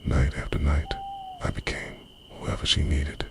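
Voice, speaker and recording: A man's voice: measured pace, close to an everyday voice. A man playing a lovestruck, obsessive dream invader in an intimate ASMR monologue, confessional, brooding and half-whispered. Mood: wistful, devoted.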